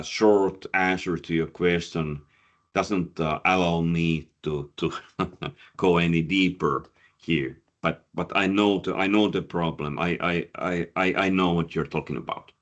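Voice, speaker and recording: A man's voice: low voice, steady tone, slow pace, a bit bright, dull audio. A man coaching job seekers, answering audience questions with many fillers and repeated words, a Finnish speaker talking in English. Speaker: career coach and presenter.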